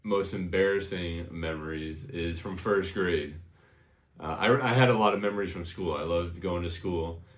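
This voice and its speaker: A man's voice: low voice, normal speed, echoey room. A man in his thirties from Connecticut with an American accent, casually telling personal stories in an informal, reminiscing way as an interview guest. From Connecticut, United States. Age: 30s. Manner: informal, reminiscing, frequent "you know".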